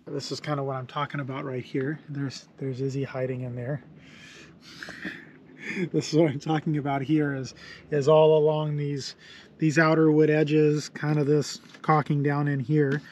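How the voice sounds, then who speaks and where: A man talks haltingly to the camera outdoors on a boat, stopping often with ums and uhs. His voice is slightly high and mellow, with faint noise behind it. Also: level tone. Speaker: boat-living vlogger. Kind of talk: casual explaining to camera.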